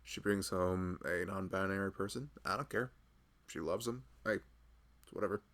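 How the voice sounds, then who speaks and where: A man's voice: sits low, moderate pace, a bit bright. A man playing a loving, easygoing husband and nervous expectant father in an intimate ASMR roleplay, rambling casually and hesitantly. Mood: accepting, casual. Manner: rambling, self-amused, hesitant, often chuckling.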